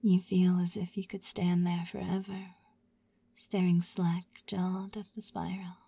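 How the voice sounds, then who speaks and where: A woman whispering a slow, soothing guided sleep hypnosis roleplay in a repetitive, lulling manner, close to the mic. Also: on the low side, little pitch movement, gravelly, mellow, slightly rough, no room sound. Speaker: hypnosis ASMR narrator.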